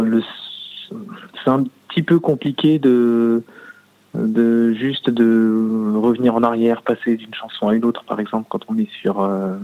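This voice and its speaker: A man giving casual feedback as a customer, halting often to search for words. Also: mid-range voice. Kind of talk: casual spoken phone feedback. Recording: tinny, narrow phone line.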